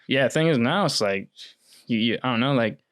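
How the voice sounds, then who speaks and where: A young man in his twenties talks through his soccer career in a loose, easygoing way. His voice is smooth and clear, at a middle pitch. He fills his sentences with "like" and restarts them often. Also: fairly quick. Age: 20s. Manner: relaxed, heavy use of "like", restarts. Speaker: pro soccer player guest. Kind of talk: casual interview chat.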